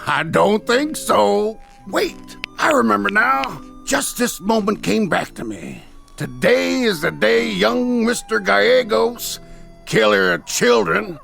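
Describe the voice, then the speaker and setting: An actor playing a loud, jeering traveling peddler in a radio drama, with theatrical sales patter and a gravelly voice. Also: male voice, high voice, very animated, takes it slowly, faint music behind, heavily acted. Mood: gloating.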